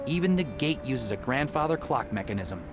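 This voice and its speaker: Animated, earnest young male game hero by an actor. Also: medium pitch, moderate pace, music in the background, close to an everyday voice. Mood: mildly exasperated. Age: young adult. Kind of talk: adventure game dialogue and musing.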